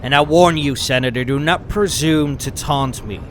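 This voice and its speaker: An actor voicing an arrogant, theatrical mad-scientist wizard in a comic-book dub, pompous and menacing. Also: male voice, on the high side, moderate pace, fairly bright tone, a little hiss or hum, close to an everyday voice. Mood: indignant, boastful. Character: arrogant, vengeful male mad-scientist wizard, obsessed with vindication.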